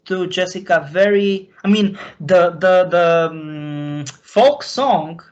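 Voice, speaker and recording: A man from Slovakia with a Slovak accent, a native Slovak speaker, talking off the cuff and confessionally in halting, repetitive, broken phrases. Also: high-pitched, a little mellow, dull audio. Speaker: recurring guest on a question-and-answer show. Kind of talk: unscripted, confessional talk.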